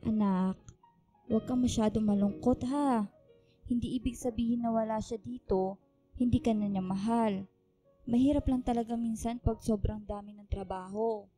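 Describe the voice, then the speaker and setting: A woman's voice: fairly high pitch, fairly even intonation, normal speed, warm tone, faint music behind, lightly acted. A woman playing a caring household elder in a radio drama, with a motherly, reassuring manner. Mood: consoling.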